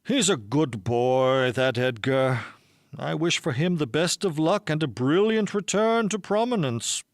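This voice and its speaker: A man's voice: a little high, lively intonation, a little slow, dry recording, studio quality. A man voicing a stately old male colony patriarch with a formal, pompous manner in an audiobook. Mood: pompous, fond. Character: Grandfather Genius, stately old colony patriarch, solemn and grandiose. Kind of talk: audiobook character voice.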